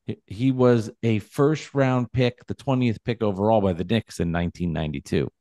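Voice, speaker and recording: A man's voice: on the low side, moderate pace, dark tone, no room sound, clean studio sound. A man in his forties hosting a casual sports podcast, teasing his co-hosts and running a trivia quiz. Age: 40s. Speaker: podcast host.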